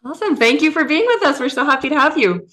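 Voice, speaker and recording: A woman's voice: high voice, sing-song, fast, a bit bright. A woman hosting a live online youth event in an upbeat, chatty way. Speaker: host.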